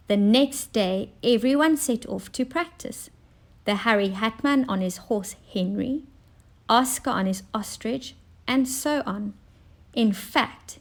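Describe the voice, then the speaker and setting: Slow-paced woman reading a children's story. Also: mid-range voice. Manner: expressive, deliberate. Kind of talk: scripted children's story narration.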